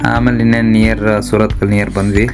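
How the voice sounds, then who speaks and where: A man's voice: lowish voice, level tone, fast. A man from Karnataka, India, narrating a casual vlog in a chatty, informal way, addressing his viewers. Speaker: vlogger. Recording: loud music over voice. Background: loud music.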